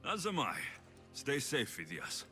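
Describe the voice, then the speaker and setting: Game lead: dry, wry mercenary, bright forceful tone, highly varied pitch. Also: male voice, wildly swooping pitch, measured pace, faint music behind, exaggerated voice. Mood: resolute. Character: gruff, wry male mercenary hero of ancient Greece. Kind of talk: video game cutscene acting.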